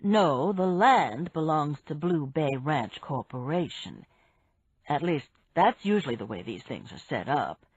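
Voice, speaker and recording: Lower-voiced, unhurried man, patient explainer, read by a woman, lo-fi. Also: sing-song, slightly rough, dry recording, full character voice. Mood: explaining. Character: calm middle-aged man explaining business. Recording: dull, dry lo-fi recording.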